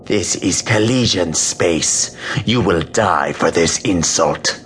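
A man's voice: deep voice, fairly bright tone, pitched below the performer's own voice. A man voicing a defiant, hissing, hairy male alien slaver captain in an audiobook. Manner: slow, hissing.

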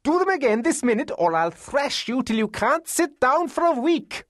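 A man's voice: mid-range voice, lively intonation, no room sound, studio quality, pitched above the performer's own voice. A man voicing a large, domineering brothel hostess in an audiobook, commanding and scolding with playful menace. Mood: fierce scolding. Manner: commanding, scolding, drawn-out threats. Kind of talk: dramatised audiobook reading.

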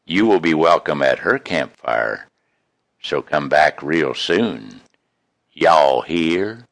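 Deep-voiced, slow folksy man reciting poetry. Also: expressive, fairly bright tone, no echo. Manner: folksy, homespun. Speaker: poet reading own work. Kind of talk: expressive poetry recital with casual introductions.

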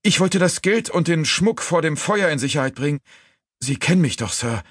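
A man's voice: on the high side, animated, moderate pace, fairly bright tone, husky, no room sound, clean studio sound, voice pushed up. A man voicing a desperate young servant woman in an audiobook, pleading and stammering. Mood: anxious pleading. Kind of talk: audiobook character voice.

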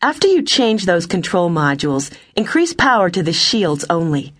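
A woman voicing a fiery, sharp-tongued female starship captain in a space audiobook, forceful and sarcastic. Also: on the low side, very animated, normal speed. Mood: commanding. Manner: forceful, sarcastic, shouting orders.

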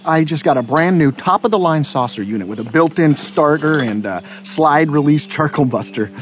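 A man's voice: on the high side, animated, normal speed, a little hiss or hum, natural voice. A man playing a chipper, boastful middle-aged suburban dad in an audio drama, with breezy, folksy cheer.

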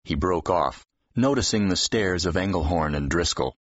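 Deep, husky male audiobook narrator. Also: lively intonation, normal speed, muffled recording. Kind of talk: scripted narration of fiction.

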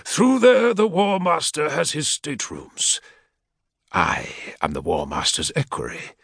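A man's voice: on the high side, huge pitch swings, measured pace, vocal fry, fairly bright tone, husky, no echo, studio quality, voice pushed up, full character voice. A man voicing a crippled, menacing male equerry who growls threats from behind a respirator. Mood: cold menace.

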